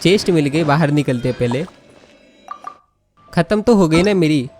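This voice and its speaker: A woman's voice: deep voice, lively intonation, racing. A woman with an Indian accent giving chatty, giggly, casual gameplay commentary, switching between Hindi and English. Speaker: gaming video host. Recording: game music behind the voice. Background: video game music and sounds.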